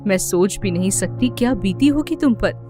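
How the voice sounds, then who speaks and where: A woman's voice: medium pitch, expressive, moderate pace, mellow, faint music behind, close to an everyday voice. An actress playing a loving, regretful old grandmother in an audio drama, speaking tenderly and sorrowfully. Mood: tender sorrow.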